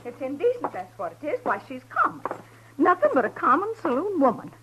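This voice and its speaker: A shrill, scandalized small-town gossip huffs her outrage in a 1950s radio western. Her very high voice swoops up and down, and a faint hiss runs under the old broadcast. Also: huge pitch swings, brisk. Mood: indignant. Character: prim gossiping older townswoman, indignant.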